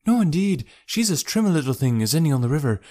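A man's voice: a little high, sing-song, fast, darkish tone, voice pushed up. A man voicing an anxious, talkative working-class English wife in an audiobook, folksy and deferential. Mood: chatty, worried. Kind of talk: audiobook character voice.

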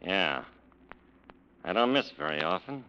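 An actor playing a hard-boiled, dryly casual investigator in a 1940s radio crime drama, on an old recording. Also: male voice, sits low, big pitch swings, unhurried, sharp tone, clean tone, muffled recording, heavily acted. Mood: wry, cool. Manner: wry, laconic.

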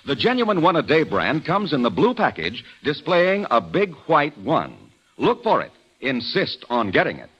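A male announcer reads a 1940s-style radio advertisement. His voice is husky and his pitch moves very widely for emphasis. It sounds like an old broadcast. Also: huge pitch swings, normal speed, fairly bright tone, highs cut off. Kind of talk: 1940s radio commercial read.

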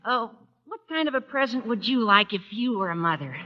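An actor playing an earnest, bumbling teenage schoolboy in an old radio comedy, speaking hesitantly and gushingly. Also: male voice, very high voice, animated, brisk. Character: earnest, squeaky-voiced teenage schoolboy, devoted but bumbling. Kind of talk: 1940s radio comedy acting. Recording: dull old radio recording.

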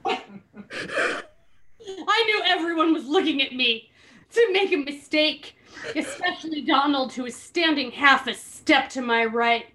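A woman's voice: sits high, fairly bright tone, a little hiss or hum. A woman host giving a comedic dramatic reading with giggly, sarcastic asides. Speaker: podcast host reading a book aloud.

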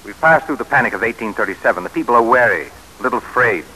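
A man's voice: mid-range voice, lively intonation, rapid-fire, sharp tone, rough recording. A man acting in an old radio drama as a blunt but apologetic 19th-century party spokesman, speaking formally. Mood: frank. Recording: hissy vintage radio drama recording.

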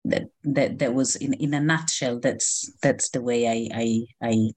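A woman's voice: extremely low pitch, fairly even intonation, a little slow, a little mellow. A middle-aged woman from Rome with an Italian accent, answering interview questions conversationally and searching for her words. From Rome, Italy. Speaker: interview guest, cookery writer.

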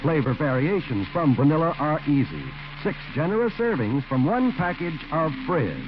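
A man's voice: on the high side, sing-song, moderate pace. An American man delivering a scripted 1940s radio advertisement as a commercial announcer. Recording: muffled old radio recording with music.

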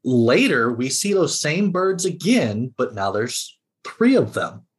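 A man's voice: medium pitch, big pitch swings, slow pace. A man talking casually as a panelist on a podcast about a TV series.

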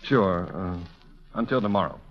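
An actor playing an evasive, easygoing bachelor in 1940s radio style, hesitant and noncommittal. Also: male voice, sits low, normal speed, lightly acted. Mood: uneasy, evasive. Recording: dull vintage radio recording.

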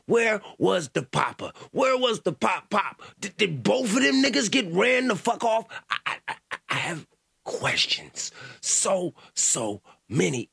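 Older man, gravelly husky voice, lively swooping pitch, loud profane podcast rant. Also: dry recording, studio quality. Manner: loud, profane, stammering restarts. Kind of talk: casual commentary reacting to clips.

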